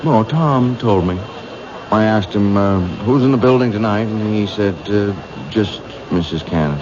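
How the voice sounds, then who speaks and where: A soft-spoken serial killer, voiced by an actor, who talks slowly and politely with a very deep, low voice. The menace stays under the surface. It sounds like a muffled old radio broadcast with steady hiss. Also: male voice, close to an everyday voice. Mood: deceptively polite. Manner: slow, hesitant, quietly polite. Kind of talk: 1970s radio drama acting.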